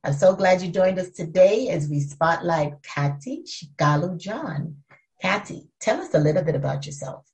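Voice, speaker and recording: A woman's voice: lowish voice, animated, moderate pace, a little mellow. A middle-aged woman hosting a podcast interview, chatty, asking open questions. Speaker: podcast host.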